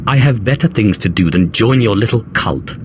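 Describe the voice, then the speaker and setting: An actor playing a haughty, self-assured dark lord in a video game, composed and dry. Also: male voice, fairly low pitch, animated, normal speed, background noise, close to an everyday voice. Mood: dismissive. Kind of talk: video game cutscene acting.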